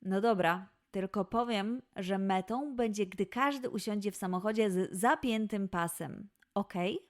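A woman's voice: medium pitch, measured pace, close to an everyday voice. A woman voicing a playful, encouraging mother lioness who coaxes with game-like challenges in a children's audio play.

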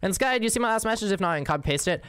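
A man's voice: high-pitched, lively intonation, very fast, a bit bright, clear, resonant tone, dry recording. A young man casually chatting with viewers while playing a game, slangy and easygoing, with the odd swear. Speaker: streamer. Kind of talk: casual game livestream, reading chat.

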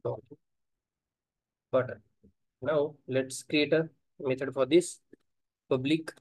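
Man, software testing instructor, halting think-aloud delivery, medium pitch, dry close sound. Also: steady tone, husky, no echo, low-quality recording. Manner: halting, thinks aloud while typing, frequent uh fillers. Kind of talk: screen-recorded coding tutorial.

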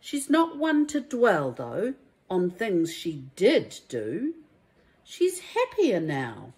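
A woman reads a children's storybook aloud like a primary teacher, in a deliberate, emphatic and expressive way. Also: medium pitch. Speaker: primary school teacher reading a story to young pupils.

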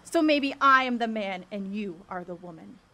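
A woman's voice: mid-range voice, lively intonation, normal speed, pushed and bright. A woman playing a determined young woman seeking the truth in ancient Greece, speaking boldly and earnestly in an audio drama. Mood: passionate, challenging. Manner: bold, earnest, declamatory. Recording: faint background noise.

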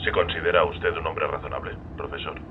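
An actor with a Castilian Spanish accent playing a measured, skeptical middle-aged psychiatrist in a dubbed film, polite and a little hesitant. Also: male voice, a bass voice, little pitch movement, phone-quality sound, noisy background. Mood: puzzled, measured. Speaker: dubbing actor.

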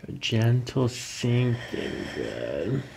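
Very gravelly man giving soothing treatment instructions. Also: medium pitch, big pitch swings, extremely slow, noisy background. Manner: soothing, reassuring instructions in short phrases. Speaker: chiropractor. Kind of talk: calm hands-on ASMR treatment talk, instructing a patient. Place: small carpeted treatment office, indoors. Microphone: microphones on boom stands near the table.

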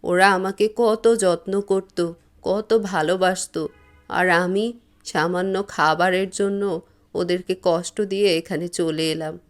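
A woman voicing an elderly, stubborn mother in a cartoon, speaking in a plaintive, drawn-out way. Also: lowish voice, steady tone, faint music behind, close to an everyday voice. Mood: regretful. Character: elderly mother, fussy about food, self-pitying and stubborn. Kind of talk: cartoon voice acting.

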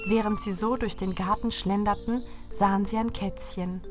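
A woman's voice: medium pitch, fairly even intonation, normal speed, over music. A woman narrator reading a scripted fairy tale for children.

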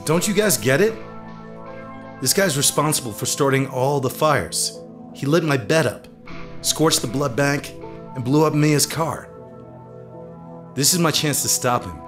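An actor plays a headstrong, determined man in a radio drama. He speaks insistently at a middle pitch, with music clearly behind him. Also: a little slow, pauses often, natural voice. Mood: urgent, determined. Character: determined, reckless man out to stop a villain.

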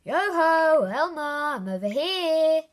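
A woman voicing a trickster storybook elephant who calls out in loud, drawn-out cries. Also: very high-pitched, animated, pitched above the performer's own voice, exaggerated voice. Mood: teasing. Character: hidden trickster elephant, teasing, calling out, pleading.

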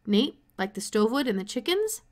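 A woman voicing an easygoing, practical father in a storybook read-aloud, with casual, unhurried phrasing. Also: a little high, very animated. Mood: considering.

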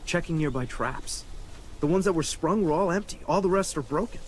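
A man acting a serious, practical teenage boy in a survival game. His voice is fairly high, with faint noise behind it. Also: fairly quick, natural voice. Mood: matter-of-fact. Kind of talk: video game drama acting.

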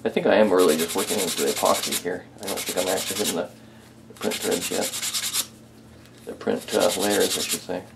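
A man's voice: low voice, steady tone, a little fast, gravelly, noisy background. A man chatting casually and hesitantly as he thinks aloud during hands-on hobby work in a small home workshop. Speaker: hobbyist restorer, video host.

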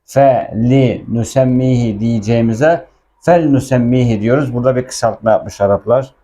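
A man with a dark, relaxed voice teaches a language lesson. He is informal and patient, repeating words and explaining them at a mid-range pitch. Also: moderate pace, slight background noise.

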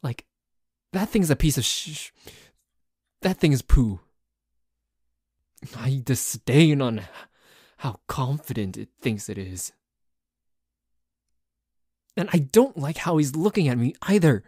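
A man playing a dorky, jealous tsundere boyfriend in an ASMR roleplay, bickering haltingly with a cocky streak. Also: medium pitch, very animated, a little mellow, breathy edge, no echo, studio quality, exaggerated voice. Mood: irritated, combative. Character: dorky, jealous, grumbling tsundere boyfriend who dislikes a cat.

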